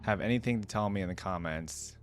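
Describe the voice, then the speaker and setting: A woman's voice: rumbling low, brisk, music in the background. A woman giving casual, off-the-cuff reaction commentary, musing thoughtfully as she goes. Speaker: reaction video host.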